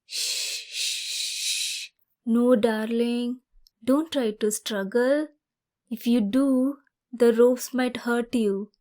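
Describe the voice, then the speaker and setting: A woman playing an obsessive, heartbroken young woman who holds her ex captive, swinging between hushed menace and frantic outbursts. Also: fairly high pitch, fairly even intonation, drawn-out words. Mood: hushed menacing. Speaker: voice actor. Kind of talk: audio roleplay acting.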